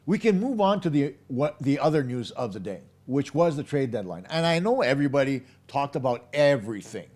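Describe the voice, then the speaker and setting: Hoarse, emphatic hockey host. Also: male voice, mid-range voice, big pitch swings, brisk, hardly any pauses, darkish tone. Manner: emphatic, opinionated, speaks straight to viewers. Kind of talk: live post-game hockey analysis.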